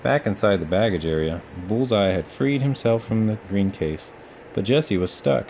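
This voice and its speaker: A man's voice: on the low side, animated, moderate pace, darkish tone, a little hiss or hum. A man narrating a children's storybook as a read-aloud storyteller.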